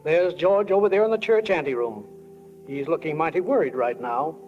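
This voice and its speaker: An actor as a folksy small-town storyteller, high-pitched. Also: male voice, animated, moderate pace, natural voice. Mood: wry, easygoing. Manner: folksy, conversational. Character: homespun small-town stage manager narrating, plainspoken and folksy. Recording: dull old radio recording, long reverb, music behind.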